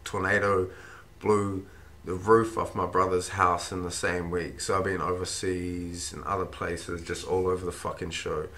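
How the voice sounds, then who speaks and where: A man with a croaky, run-down voice, rambling casually through fight picks with plenty of swearing. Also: deep voice, flat delivery, a little slow, fairly bright tone. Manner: rambling, swears freely, thinks aloud with ums. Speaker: fight-pick vlogger. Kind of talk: casual fight predictions monologue.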